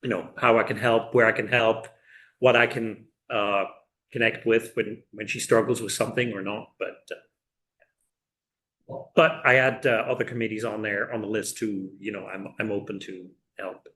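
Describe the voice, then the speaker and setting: A middle-aged man with a Swiss accent and a fairly low voice. He talks casually and loosely about himself at a public meeting, with faint room noise behind him. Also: little pitch movement, moderate pace, fairly bright tone. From Switzerland. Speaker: committee applicant. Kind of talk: informal self-introduction at a public meeting.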